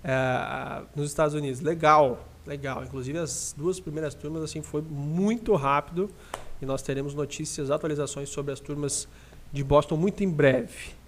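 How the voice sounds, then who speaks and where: Podcast host, male, Brazilian accent, somewhat high register; informal, audience-facing live chat delivery. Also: steady tone, moderate pace, a little hiss or hum. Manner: informal, addresses listeners directly.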